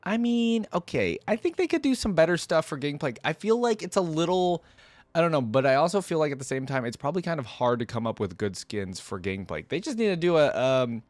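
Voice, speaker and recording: A man's voice: a little high, big pitch swings, brisk, no echo. Casual man reacting off the cuff, close dry sound. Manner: colloquial, thinking aloud. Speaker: reaction video host.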